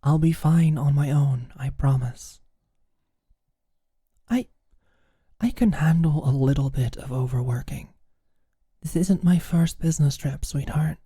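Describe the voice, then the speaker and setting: An actor playing a teasing, caring British office manager in an intimate ASMR roleplay, speaking softly and breathily with a dry manner. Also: mid-range voice, a little slow, long pauses, dry recording. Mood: earnest, hesitant. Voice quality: soft, breathy, often near-whispered. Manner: dry, gently teasing, solicitous.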